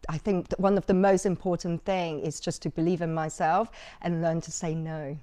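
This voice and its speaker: A woman's voice: on the low side, level tone, moderate pace, mellow. A woman guest in a casual interview, chatty, with frequent fillers and easy laughter.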